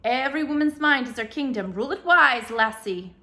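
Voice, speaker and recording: A woman's voice: high-pitched, animated, brisk, sharp tone, voice pushed up. A woman voicing an old Irish ancestor with a thick brogue, giving fatherly advice. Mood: wise. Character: old Irish great-great-great-grandfather, warm and wise.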